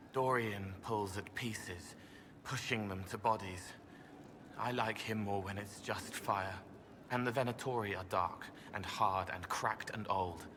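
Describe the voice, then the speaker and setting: An actor playing a gentle, otherworldly young man in a video game, speaking in dreamy, halting, poetic fragments. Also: fairly low pitch, noisy background. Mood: hushed, uneasy. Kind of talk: video game dialogue acting.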